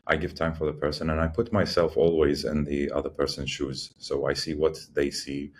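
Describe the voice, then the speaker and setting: A man in his forties from Iraq, a podcast guest speaking in a measured, hesitant way with many fillers and restarts. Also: a bass voice, flat delivery, a little hiss or hum. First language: English learned first, also Arabic. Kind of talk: reflective interview talk.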